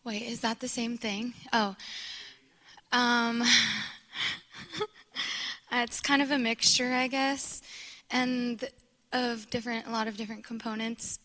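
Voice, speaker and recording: A woman who grew up bilingual in English and Spanish, introducing herself to a group in a halting, thinking-aloud way. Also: fairly high pitch, steady tone, fairly bright tone. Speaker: panel participant introducing herself.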